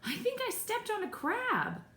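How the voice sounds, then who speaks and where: A woman's voice: high-pitched, very animated, moderate pace, bright, forceful tone, pitched above the performer's own voice, exaggerated voice. Woman as a playful, hesitant storybook dad. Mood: unsure. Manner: playful, a little unsure. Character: playful, hesitant dad joining his son's game. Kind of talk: children's storybook character reading. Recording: echoey room.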